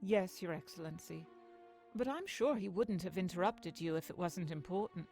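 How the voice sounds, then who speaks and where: A woman's voice: on the low side, expressive, normal speed, a little mellow. A woman playing a polite, guarded young political aide in an audio comic, courteous and restrained in manner. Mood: deferential. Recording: music behind the voice.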